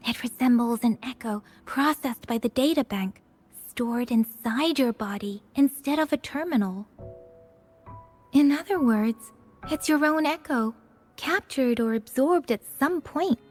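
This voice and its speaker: A high-pitched, helpful young woman from a video game. She explains things patiently and in measured phrases, with soft music playing underneath. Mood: patient, explaining.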